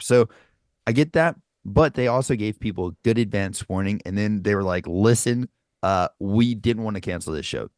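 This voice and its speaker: A man's voice: fairly low pitch, no echo, studio quality. A man from Nashville, a vocalist and podcast co-host, chatting casually and animatedly, swearing and saying "dude" often. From Nashville, USA. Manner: animated, swears freely, says "dude" a lot. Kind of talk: casual chat.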